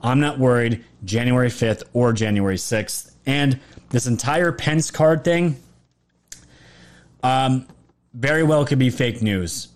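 A man talks on a livestream in a voice of middling pitch that is going hoarse from a sore throat. He rambles and doubles back, restarting phrases and leaning hard on words for emphasis. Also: slow. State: throat sore, losing his voice. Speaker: political livestream commentator. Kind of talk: casual livestream monologue.

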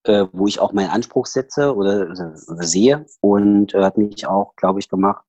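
A man's voice: fairly low pitch, moderate pace, darkish tone, dry recording, lo-fi sound. A man with a Saxon accent, a podcast guest talking casually over a headset, rambling with many fillers and restarts. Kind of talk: casual personal interview talk.

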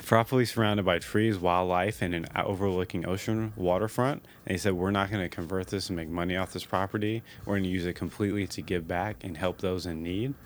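A man's voice: low-pitched, steady tone, brisk, hardly any pauses, slight background noise. A man co-hosting a casual podcast, chatty and upbeat, laughing easily as he shares stories. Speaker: podcast co-host.

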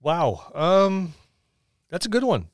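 A man's voice: high voice, very animated, slow, no room sound, clean studio sound. A man co-hosting a podcast, musing aloud in casual discussion and posing questions as he goes. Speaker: podcast co-host. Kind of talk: casual tabletop gaming discussion.

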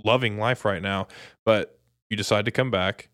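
A man's voice: on the low side, fairly even intonation, moderate pace, a bit bright, no room sound, studio quality. A man co-hosting a sports podcast in casual, conversational chat.

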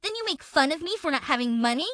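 A woman's voice: high voice, lively intonation, fast talker, fairly bright tone, no echo, clean studio sound. A woman dramatically reading the texts of an abusive, self-pitying woman, in an accusatory, aggrieved manner. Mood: threatening, aggrieved. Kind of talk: dramatic reading of a text exchange.

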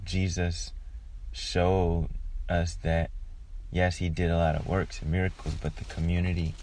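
A man with a low, flat voice gives an informal devotional talk. His delivery is reflective and halting, often pausing in the middle of a phrase, with a heavy vocal fry running through it. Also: poor audio.

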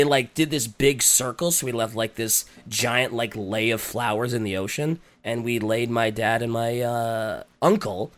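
Raspy, congested man in casual chat. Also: mid-range voice. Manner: hesitant, full of fillers. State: recovering from a cold. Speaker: podcast co-host.

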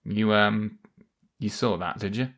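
A man's voice: lowish voice, steady tone, a little slow, fairly bright tone. A man, hosting scripted satirical comedy in a defensive, exasperated manner. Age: 30s. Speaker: satirical news podcast host and writer.

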